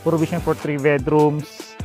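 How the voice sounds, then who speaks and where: An upbeat man in his thirties gives a walking tour of an outdoor construction site, his voice slightly on the high side. He keeps up a chatty, salesy patter through a clip-on mic. Faint music plays underneath. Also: level tone, unhurried, a little mellow. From Philippines. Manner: upbeat salesy patter with frequent "so ayan" fillers. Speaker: real estate agent and channel host. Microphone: clip-on lapel mic.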